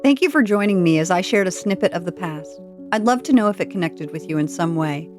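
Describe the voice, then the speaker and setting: A woman's voice: fairly low pitch, lively intonation, brisk, music in the background. A woman podcast host delivering scripted narration, reading aloud and reflecting on what she reads.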